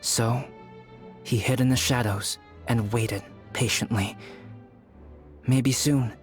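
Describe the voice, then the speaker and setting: An actor playing a calm, pensive young alchemist in a game, speaking in a grave, deliberate, storytelling way over music. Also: male voice, mid-range voice, natural voice. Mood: grave, measured. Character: calm, pensive young male alchemist telling a dark tale. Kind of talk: measured game dialogue storytelling. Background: background music.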